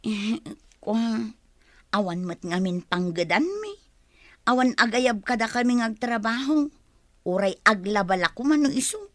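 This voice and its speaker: A woman's voice: on the high side, animated, a little slow, no room sound, studio quality. A woman with an Ilocano accent playing a poor, complaining young village wife in a radio comedy drama. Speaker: voice actor.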